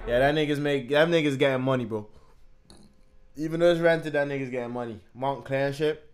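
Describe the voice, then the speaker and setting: A man in his twenties giving casual, slangy and animated commentary as he reacts to music. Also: medium pitch, brisk. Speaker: reaction video host. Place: small indoor room at home. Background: music from the video he is watching.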